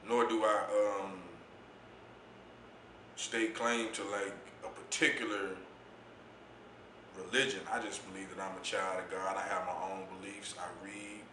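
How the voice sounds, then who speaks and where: A man with a deep voice, speaking as an interview guest in a laid-back, reflective way about his poetry and youth mentoring. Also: bright, forceful tone, roomy sound, slight background noise, poor audio. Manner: laid-back, reflective, says "you know what I'm saying" often. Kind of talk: casual interview talk.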